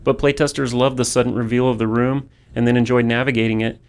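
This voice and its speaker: Creaky-voiced man reading developer commentary. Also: medium pitch, level tone, fast talker, darkish tone. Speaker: game developer.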